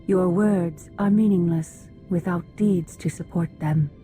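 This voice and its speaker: A woman's voice: fairly low pitch, steady tone, a little slow, mellow, soft background music, lightly acted. An actress playing a stern, distrustful female alien council leader in a video game, formal and grave. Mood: cold reproach. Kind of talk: video game cutscene acting.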